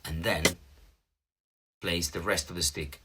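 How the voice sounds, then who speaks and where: A man's voice: low-pitched, fairly even intonation, measured pace, loud music behind the voice. A man in his thirties with a Finnish accent, patiently explaining drum notation step by step as a teacher, with small slips in his English. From Finland. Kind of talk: instructional explanation.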